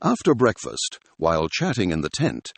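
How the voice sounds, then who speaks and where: Very animated male story narrator, husky. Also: deep voice, normal speed, no echo. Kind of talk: dramatic scripted story narration.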